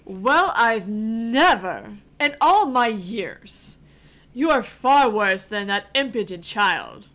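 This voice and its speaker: Casting: snobbish angry mother, played by a woman, sharp and forceful, highish, drawn-out scorn, game dialogue. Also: big pitch swings, exaggerated voice. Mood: haughty, outraged.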